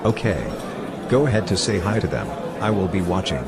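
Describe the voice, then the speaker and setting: A man's voice: low voice, lively intonation, brisk. A man playing an agreeable father watching over his child, in an amateur audio drama. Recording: loud crowded public space ambience. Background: busy crowd.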